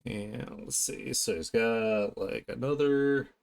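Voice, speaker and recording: A man chatting casually on an art live stream, talking haltingly and half to himself as he works. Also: mid-range voice, vocal fry. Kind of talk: casual live-stream chat while drawing.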